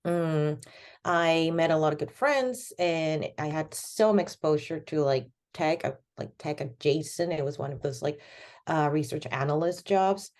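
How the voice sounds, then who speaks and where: Low, mellow-voiced woman from Venezuela. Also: unhurried. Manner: rambling, many fillers like "like" and "you know". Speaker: podcast guest. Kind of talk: casual conversational interview.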